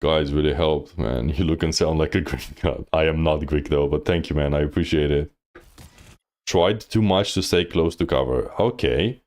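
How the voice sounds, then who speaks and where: A man's voice: very deep voice, normal speed, a little mellow. A man coaching on a live stream, reviewing gameplay bluntly with lots of fillers. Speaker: game coach.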